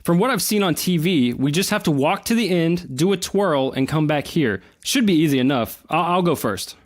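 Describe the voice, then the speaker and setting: A man's voice: fairly high pitch, expressive, normal speed, no echo, studio quality, close to an everyday voice. A man playing a cocky, easygoing young adventurer in an audio drama, casual and joking. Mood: curious.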